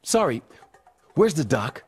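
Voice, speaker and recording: A woman's voice: deep voice, sing-song, slow, a little mellow, husky, exaggerated voice. A woman playing a cool, serious action team member in a video game, terse and dry. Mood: brisk. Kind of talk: video game cutscene acting.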